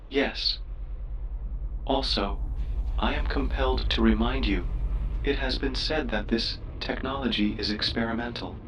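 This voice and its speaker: A man voicing a polite, cautious starship AI in a sci-fi game, speaking in a formal, deferential, measured way. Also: mid-range voice, fairly bright tone, far from the mic, slight background noise, natural voice, low-quality recording. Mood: cautious, concerned. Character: polite, cautious male ship computer AI, formal and curious. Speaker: ship's computer voice assistant. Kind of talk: scripted sci-fi game voice pack.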